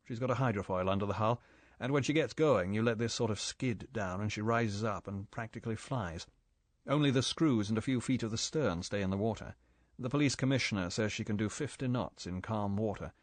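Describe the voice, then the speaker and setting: A man voicing a confident English agent who talks in a brisk, matter-of-fact way. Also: lowish voice, no echo, lightly acted.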